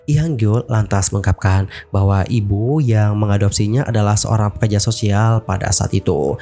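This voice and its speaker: A man's voice: on the low side, mellow. A man in his twenties with an Indonesian accent, narrating celebrity news in a casual, chatty vlog style and talking straight to his viewers. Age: 20s. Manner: chatty, addresses viewers as guys. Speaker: K-pop news YouTuber.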